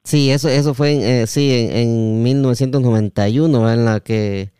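A man's voice: mid-range voice, steady tone, moderate pace, warm tone, clear, resonant tone, no echo, studio quality. A man hosting a podcast in a conversational interview style. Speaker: podcast host.

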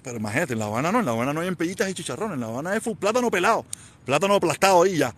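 A man's voice: on the high side, very animated, very fast, fairly bright tone. A man in his forties from Havana, speaking with a Cuban accent, chatting casually and rambling on a live stream, repeating himself and answering viewer comments. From Cuba. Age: 40s.

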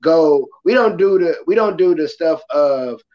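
A passionate, loud-voiced man hosting a casual podcast talk, acting out quotes as he goes. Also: fairly high pitch, expressive, unhurried, highs cut off. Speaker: podcast host; kindergarten school worker.

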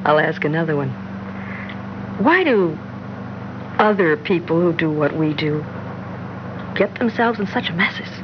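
A woman's voice: deep voice, animated, a little slow, long pauses, dull audio. An actress playing an anxious, pleading married woman having a secret affair, in a classic radio drama on a noisy old recording. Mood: tender, intimate. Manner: urgent stammering when pressed. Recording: noisy band-limited old radio recording, vehicle sound.

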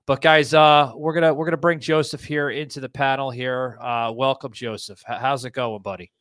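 A man's voice: mid-range voice, fairly even intonation, normal speed, fairly bright tone, clean tone, dry recording, studio quality. A man hosting a casual podcast, rambling and upbeat, with lots of fillers and repeated words. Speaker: podcast host.

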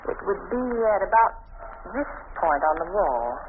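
An actress playing an anxious young heroine in an old radio thriller, earnest and melodramatic. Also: female voice, on the low side, big pitch swings, moderate pace. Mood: uneasy. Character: anxious young heroine, a detective's female companion. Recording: scratchy, noisy, narrow-band old radio recording.

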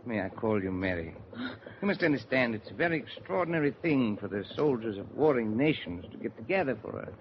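An actor playing a suave Nazi spy in a 1940s radio drama, formally courteous while coolly threatening. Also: male voice, mid-range voice, animated, brisk, natural voice. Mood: silky, probing. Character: suave, menacing male Nazi spy holding hostages. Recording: muffled, noisy 1949 radio broadcast.

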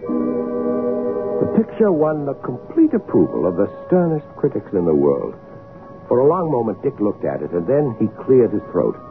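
An actor playing a painter's thoughtful male friend, telling the story in measured, dramatic old radio style. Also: medium pitch, huge pitch swings, faint music behind. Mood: reflective, grave. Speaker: narrator and supporting character. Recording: tinny old radio recording.

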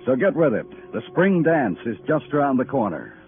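An actor playing a brooding police detective, narrating a 1950s radio noir drama in solemn, clipped poetic phrases. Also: male voice, medium pitch, sing-song, moderate pace. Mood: lyrical, dramatic. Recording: muffled vintage radio recording with music.

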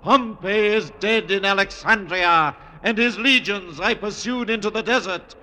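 An actor playing a grand, commanding Roman conqueror in a classic radio drama, declaiming with measured pomp. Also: male voice, high voice, heavily acted. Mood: triumphant, proud. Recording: old radio recording, loud noise behind.

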